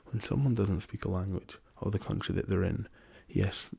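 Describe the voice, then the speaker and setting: A young man with a hoarse, raspy voice from a lingering cough, talking softly and hesitantly as an ASMR host, slipping into whispers. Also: sits low, steady tone. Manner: soft-spoken, slow and hesitant with many ums, drifting into whispers. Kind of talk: soft-spoken ASMR travel chat.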